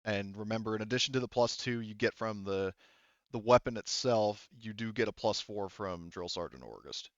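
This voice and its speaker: A man's voice: on the low side, normal speed, no room sound, highs cut off. A man acting as game master in a tabletop roleplaying game, talking informally and thinking aloud with casual swearing. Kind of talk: running an online tabletop roleplaying game, rules talk.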